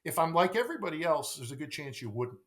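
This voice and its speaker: A man's voice: on the high side, very animated, quick pace, fairly bright tone. A middle-aged man talking as a podcast guest and sales coach, emphatic, repeating phrases and posing rhetorical questions. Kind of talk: conversational podcast interview.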